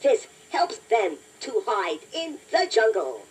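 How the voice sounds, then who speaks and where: High, animated toy robot quiz host. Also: female voice, slightly rough, full character voice. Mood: encouraging. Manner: encouraging, playful, deliberate, addresses listener directly. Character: chatty, playful, self-deprecating toy robot quiz host. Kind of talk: scripted children's quiz narration. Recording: noisy toy cassette playback, distant from mic.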